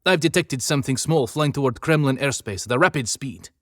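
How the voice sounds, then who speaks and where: A man's voice: medium pitch, fairly quick, fairly bright tone, dry recording, clean studio sound, voice pushed up, close to an everyday voice. A man voicing a hesitant, deferential Russian military technician in an audiobook, respectful and nervous.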